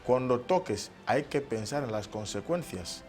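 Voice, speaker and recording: A man from Equatorial Guinea speaking as a guest on a studio panel, giving measured advice and quoting proverbs. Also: medium pitch, lively intonation, darkish tone, faint music behind.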